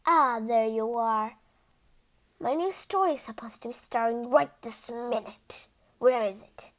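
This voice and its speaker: Woman acting out vain storybook king, high-pitched, imperious, quick-tempered, commanding. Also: a bit bright. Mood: impatient. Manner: imperious, demanding. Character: pompous, bossy, easily angered vain storybook king. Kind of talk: children's picture book read-aloud.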